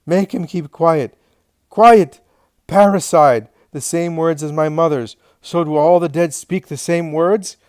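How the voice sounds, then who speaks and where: A man's voice: unhurried, vocal fry, few pauses, no room sound, studio quality. A man voicing Simon, a shrieking, tormented madman. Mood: frantic raving. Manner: shrieking, frantic, high-pitched cries. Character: raving, tormented madman haunted by the dead.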